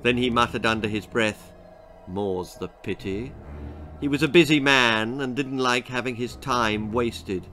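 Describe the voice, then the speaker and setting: An older man with an English accent reads a storybook aloud. His delivery is expressive and dramatic, savouring each phrase. His pitch sits in the middle range. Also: moderate pace, few pauses. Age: 60s or older. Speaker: author reading his story. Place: small book-lined room indoors.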